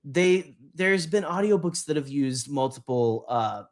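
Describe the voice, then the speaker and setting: A man co-hosting a podcast in casual fan discussion, talking in a rambling, halting way and often rephrasing mid-sentence. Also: fairly high pitch, animated. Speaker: podcast co-host.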